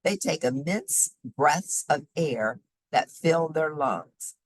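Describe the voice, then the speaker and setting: A woman reading a children's nonfiction book aloud in an expressive, emphatic, teacherly way, pausing for short explanatory asides. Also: low-pitched, no echo. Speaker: read-aloud host.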